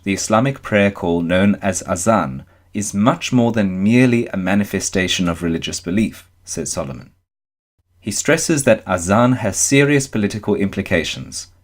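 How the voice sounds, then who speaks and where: Male news reader, lower register, scripted narration, measured formal delivery. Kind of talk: scripted narration, article read aloud.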